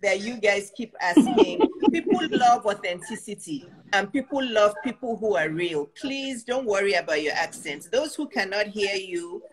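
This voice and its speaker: A Nigerian woman hosting a chat as a coach, encouraging and animated, often laughing as she talks. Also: medium pitch, normal speed, hardly any pauses, a crowd in the background, low-quality recording. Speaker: host and coach. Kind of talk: casual video-call chat.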